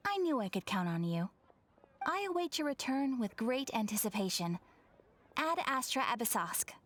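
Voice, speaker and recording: Young female guild clerk, high-pitched, animated, formally courteous, video game. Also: normal speed, slight background noise. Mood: polite, encouraging. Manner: formal, courteous, scripted phrasing. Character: polite, upbeat young woman, adventurers' guild receptionist.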